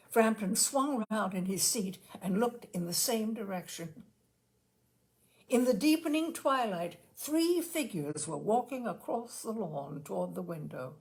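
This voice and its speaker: A woman narrating a literary short story with dramatic, deliberate phrasing. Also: medium pitch, vocal fry, darkish tone. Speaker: story reader. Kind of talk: expressive scripted literary narration.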